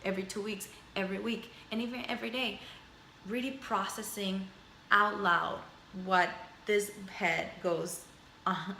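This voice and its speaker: A woman's voice: lowish voice, creaky, pushed and bright, echoey room, slight background noise. A woman in her thirties, a native Spanish speaker, talking reflectively to her camera in a personal video journal, rambling through lists and repeating phrases. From Spanish-speaking background. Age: 30s. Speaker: vlogger. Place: indoors at home. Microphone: camera mic.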